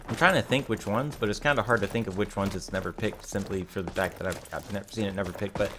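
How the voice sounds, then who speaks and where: Guy streaming a game, lowish slightly raspy voice, thinks out loud and rambles, some noise behind him. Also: fast. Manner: rambling, self-correcting, thinking aloud. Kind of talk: casual live game playthrough commentary.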